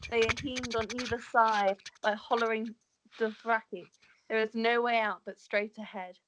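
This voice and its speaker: Woman, higher pitch, scripted fantasy narration, amateur table read, stumbles now and then. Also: fairly even intonation, moderate pace, a bit bright, low-quality recording. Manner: stumbles over written words. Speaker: stage directions reader.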